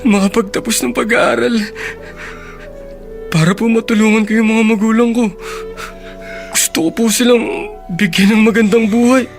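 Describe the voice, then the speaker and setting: A poor young man of radio drama, with a notably high voice. He is humble, earnest and deferential, full of feeling, over soft background music. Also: fairly even intonation, normal speed, vocal fry, pauses often. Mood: overjoyed, moved. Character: humble, grateful poor young working man, devoted son. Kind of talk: Filipino radio drama acting.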